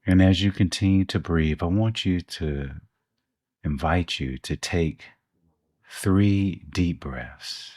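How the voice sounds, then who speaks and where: A man co-hosting a podcast, leading a guided meditation with soft, slow instructions and long pauses. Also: deep voice, no room sound, studio quality.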